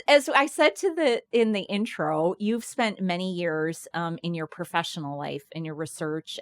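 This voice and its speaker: A woman's voice: low-pitched, no room sound. A woman hosting an interview podcast, upbeat, gracious and welcoming. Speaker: podcast host. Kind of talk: interview conversation.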